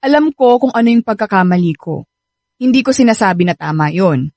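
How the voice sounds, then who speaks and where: A woman's voice: medium pitch, expressive, brisk, a little mellow, dry recording, clean studio sound, natural voice. An actress playing a defensive young woman torn in love, with a Filipino accent, in a radio drama. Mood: upset, defensive. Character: young woman daughter torn between two loves, defensive.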